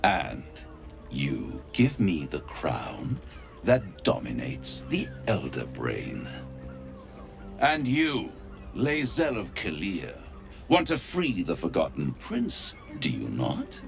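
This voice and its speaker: A man playing a smug, menacing devil dealmaker in a video game, theatrical and taunting, savouring words with dramatic pauses. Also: low voice, huge pitch swings, vocal fry, soft background music, heavily acted. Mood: silky, triumphant. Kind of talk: video game character acting.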